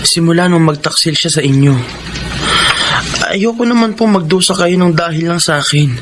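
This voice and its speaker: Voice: mid-range voice, moderate pace, background noise, natural voice. An actor playing a protective, frank teenage child in a radio drama, polite but reproachful and earnest.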